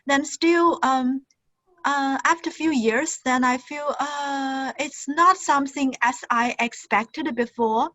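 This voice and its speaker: A woman's voice: high-pitched, fairly bright tone, no room sound, rough recording. Hesitant woman from Shanghai on a call. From Shanghai, China. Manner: hesitant, halting, restarts often. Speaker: participant asking a question. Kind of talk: casual question on a call. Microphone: video call.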